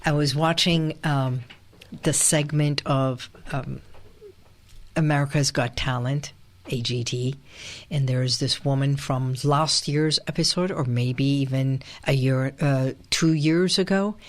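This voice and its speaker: Slow, soft-spoken woman radio host from Iran. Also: rumbling low. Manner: soft, slow, meditative, with sighs and breathy pauses. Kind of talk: reflective solo radio talk.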